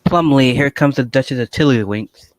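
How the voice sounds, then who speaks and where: An actor playing a rough, mocking street loafer in old-style radio drama, with sneering, colloquial speech. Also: male voice, medium pitch, moderate pace, no room sound, lightly acted. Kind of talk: 1940s radio drama acting.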